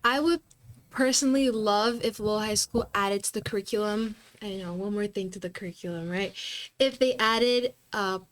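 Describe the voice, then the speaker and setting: A teenage girl student speaking earnestly, building her point through repeated lists. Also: medium pitch. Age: teens. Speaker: student guest.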